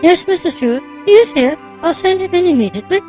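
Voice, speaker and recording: A woman acting a polite, formal receptionist at a doctor's surgery in a radio drama, courteous and crisp in manner. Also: very high voice, expressive, fast talker, dark tone, soft background music.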